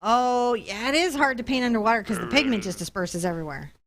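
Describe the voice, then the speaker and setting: A woman's voice: on the high side, quick pace, fairly bright tone. A woman chatting casually and playfully as the host of an art tutorial livestream, thanking supporters.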